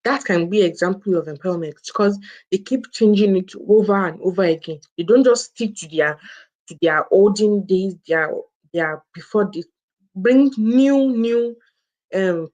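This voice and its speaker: A woman's voice: mid-range voice, darkish tone, poor audio. A woman co-hosting a book review, explaining informally and often restating and restarting her phrases. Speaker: co-host presenting a book review.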